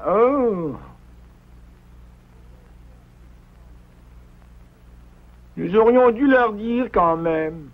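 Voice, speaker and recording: A man voicing a fussy, grumpy but soft-hearted animal with a squeaky, hesitant delivery in a French cartoon. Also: animated, takes it slowly, phone-quality sound, full character voice. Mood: regretful.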